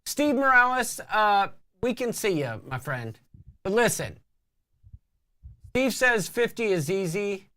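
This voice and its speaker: Very animated, bright-voiced man bantering. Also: sits high, slow pace, long pauses. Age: 50s. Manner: animated, teasing. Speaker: live show host reading viewer comments. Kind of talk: casual livestream banter.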